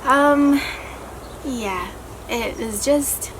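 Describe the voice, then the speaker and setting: Soft-spoken woman chatting, slow pace. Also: high voice. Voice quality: soft, not loud. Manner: chatty, self-interrupting, laughs at herself. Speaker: vlogger. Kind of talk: casual Q&A vlog. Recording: audible background noise.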